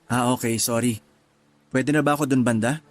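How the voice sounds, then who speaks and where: An actor in a radio drama playing a soft-spoken, laid-back young male traveler who casually mixes English into his Tagalog. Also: mid-range voice, steady tone, moderate pace, faint music behind, natural voice. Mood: relaxed.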